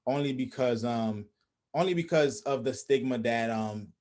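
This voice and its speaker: A man's voice: medium pitch, normal speed, darkish tone. Young man talking reflectively on a call. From Haiti. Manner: reflective, many restarts and fillers. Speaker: host. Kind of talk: casual conversation on a video call. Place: small indoor room. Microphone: video call webcam.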